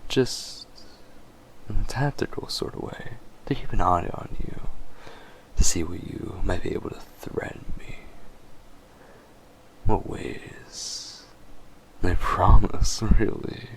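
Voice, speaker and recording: Man playing a deep, gravelly, possessive vampire. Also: slight background noise, poor audio. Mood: flustered, defensive. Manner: slow, teasing, smug, often chuckling. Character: possessive, condescending male vampire, obsessed and menacing. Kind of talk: dramatic character audio roleplay.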